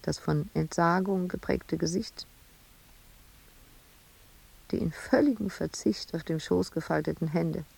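Bitter intense woman artist locked in an asylum, lowish creaky voice, radio play monologue. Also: a little slow, long pauses, a little mellow, exaggerated voice, poor audio. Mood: brooding, bitter. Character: embittered woman sculptor confined in an asylum, recalling her family.

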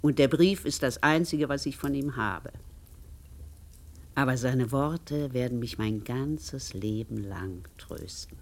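An actress playing a devout, tender, grieving servant woman in a German radio play, moving between hushed and insistent delivery. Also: extremely low pitch, slow, creaky, full character voice. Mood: tender, wistful.

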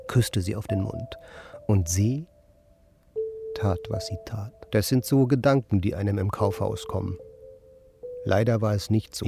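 A man acting in a radio play as an aimless, sardonic loiterer who speaks in a laconic, provocative, deadpan way. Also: on the low side, vocal fry. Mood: brooding. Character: aimless idle man, sardonic, stubborn department-store loiterer. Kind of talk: German radio play dialogue and first-person narration.

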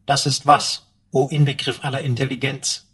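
A mid-pitched, slightly rough-voiced supercomputer servant from a radio play. It flatters its master with flowery, pompous deference. Beneath the precise phrasing sits dry sarcasm. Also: male voice, normal speed. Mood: sardonic. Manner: mock-obsequious, precise, pompous. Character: sardonic, servile male supercomputer butler with flowery flattery. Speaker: supporting actor. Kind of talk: German radio drama acting.

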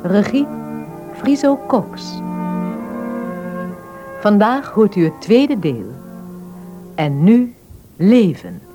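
Woman radio announcer, formal, really slow delivery, loud horn music behind. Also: mid-range voice, very animated. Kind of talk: scripted radio drama announcement.

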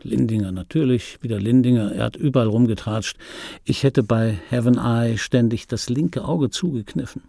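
An actor playing a middle-aged film dubbing actor in a radio play, reminiscing in a wry, pedantic, digressive way with a gravelly, husky voice. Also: male voice, medium pitch, moderate pace, mellow, no room sound, clean studio sound. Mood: aggrieved, wry. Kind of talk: radio play monologue, confiding first-person reminiscence. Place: dry radio drama studio.

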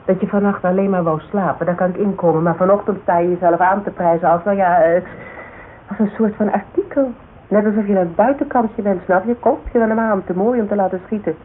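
A woman's voice: medium pitch, steady tone, a little fast, natural voice. An actress playing a frank, sarcastic, worldly woman in a Dutch radio drama. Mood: annoyed, frank. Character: worldly, plain-spoken woman, blunt and teasing. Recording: tinny band-limited radio recording, faint hiss.

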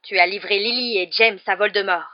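A woman's voice: medium pitch, steady tone, moderate pace, highs cut off, lightly acted. Woman playing a furious, roaring vengeful man. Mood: enraged. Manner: growling, forceful, loud.

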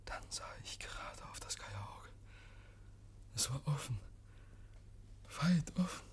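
An actor playing a deranged, obsessive murderer confessing in halting, breathy whispers, as in a 1950s German radio drama. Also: male voice, medium pitch, lively intonation. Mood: feverish, mounting. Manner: halting, feverishly intense, repeating phrases.

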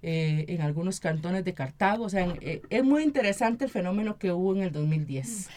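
A woman with a Costa Rican accent and a slightly low voice gives an interview on radio. She explains in a deliberate, earnest way, with rather formal wording. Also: expressive, dark tone. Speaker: interview guest, activist and municipal representative.

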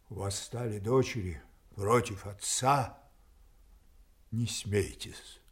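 An older man playing a proud, anguished old king wronged by his daughters, in declamatory classical tragedy style that swings between hushed and thundering. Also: on the low side, heavily acted. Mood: pleading, weary. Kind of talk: classical stage tragedy acting.

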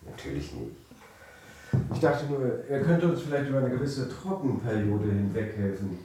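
An actor playing a charming, broke young husband in a German radio drama, breezy, cajoling and evasive. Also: male voice, mid-range voice, big pitch swings, moderate pace, warm tone, lightly acted. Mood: evasive, casual. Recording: echoey room, distant mic, faint noise.

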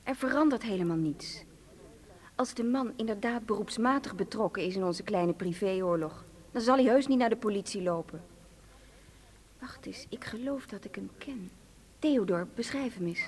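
A cool female conspirator in a radio drama. Her voice sits at a middle pitch, and she reasons things out with analytical self-assurance. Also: lively intonation, moderate pace, husky. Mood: coolly satisfied.

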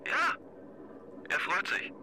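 An actor playing a quietly insistent brother on a telephone call in a naturalistic radio drama. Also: male voice, slow. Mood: guarded, hinting.

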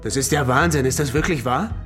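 A man's voice: mid-range voice, a little fast, a bit bright, faint music behind, close to an everyday voice. An actor playing a stunned, volatile male artist in a German radio drama. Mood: stunned, upset.

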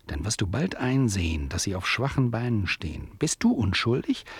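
An actor playing a wry, teasing author-narrator in a literary radio play, ironic and rhetorical. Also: male voice, medium pitch, a little mellow, husky, no echo. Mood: ironic, provoking. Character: wry, teasing male author-narrator sparring with his listener.

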